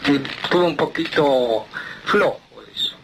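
Bright high-voiced Argentine man. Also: normal speed. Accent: Argentine, Rioplatense. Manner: rambling, hesitant phrasing. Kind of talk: casual phone conversation. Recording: noisy phone call.

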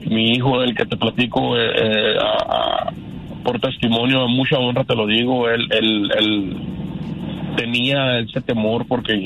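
A man in his fifties with a Mexican accent, giving personal testimony as a radio caller in a halting, earnest, repetitive way. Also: mid-range voice, little pitch movement, a bit bright, a little hiss or hum, low-quality recording. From Canada. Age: 50s.

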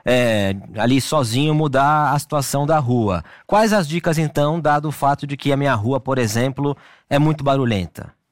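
Brisk male interview host, dry sound. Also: medium pitch, no room sound.

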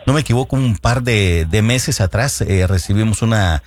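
A man's voice: lowish voice, normal speed. A man hosting a radio advice show, who asks questions hesitantly and defers to his guest. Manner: hesitant, many "eh" fillers, deferential.

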